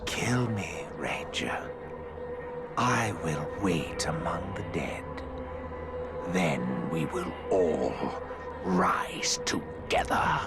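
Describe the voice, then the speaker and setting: An actor playing a menacing orc necromancer, a calm zealot of the dead, ominous and deliberate, in a fantasy game. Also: male voice, low voice, exaggerated voice. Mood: fanatical, defiant. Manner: ominous, deliberate, drops into whispers. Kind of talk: fantasy game villain acting. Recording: loud music behind. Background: loud music.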